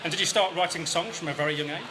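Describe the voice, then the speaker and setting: A man's voice: on the high side, rapid-fire, background noise. A man, a radio interviewer, asking polite, brief questions and filling gaps with short acknowledgements. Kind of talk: casual interview chat. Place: backstage at a music venue.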